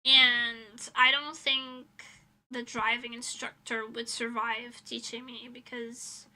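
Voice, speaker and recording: A woman with a high, strikingly bright and sharp voice talks casually on a livestream. She rambles through her thoughts bluntly, swearing freely as she answers chat. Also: flat delivery, slow pace, low-quality recording. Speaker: livestreamer, illustrator.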